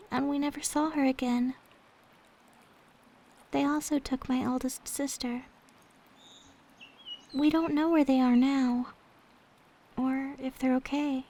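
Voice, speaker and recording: A woman playing a gentle, devoted young maid in an ASMR roleplay, speaking in a soft, sweet, tender way. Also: high-pitched. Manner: soft, sweet, tender, hesitant when confiding.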